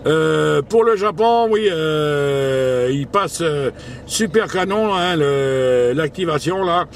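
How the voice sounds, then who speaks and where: A man's voice: fairly high pitch, lively intonation, takes it slowly. A man making casual amateur radio contact in an informal, hesitant, slangy way, speaking from an outdoor mobile spot. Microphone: handheld camera picking up the voice. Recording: faint outdoor and vehicle noise.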